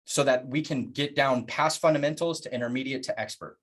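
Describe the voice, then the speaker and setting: A man presenting plans in a public address, speaking earnestly in deliberate phrases with emphatic pauses. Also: medium pitch, a bit bright. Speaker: organization leader presenting plans.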